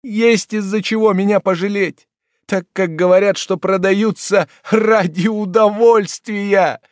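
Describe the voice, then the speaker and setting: Desperate sobbing young woman, played by a male reader: high-pitched, frantic, begging, storybook audiobook. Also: normal speed, creaky, a little mellow, no echo, studio quality. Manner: shrill, tearful, pleading. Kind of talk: audiobook character voice.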